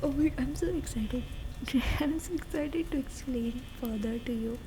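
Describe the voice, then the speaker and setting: An excited young girlfriend, played by a woman, chats in a fairly high voice with an Indian accent. She rambles eagerly in breathy half-whispers for an ASMR roleplay, with clear noise behind her. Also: unhurried. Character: excited girlfriend gushing about game lore to partner. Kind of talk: ASMR roleplay, soft casual chat in Hinglish. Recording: noticeable background noise under voice. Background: ambient noise, video game playing.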